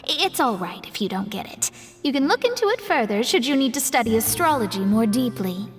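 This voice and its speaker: A woman's voice: a little high, sing-song, brisk, long reverb tail, faint music behind. A woman voicing a proud, studious young astrologer in a video game, explaining things in a precise, self-important manner. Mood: explanatory. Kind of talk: animated game dialogue acting.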